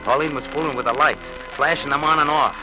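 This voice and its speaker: A man's voice: fairly high pitch, fairly even intonation, fast, close to an everyday voice. An actor playing a bitter, murderous husband in an old radio thriller, menacing and sardonic as he confesses his crime. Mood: grim confiding. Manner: menacing, sardonic, taunting with pet names. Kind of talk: 1940s radio thriller acting and first-person narration. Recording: muffled old radio recording with loud music.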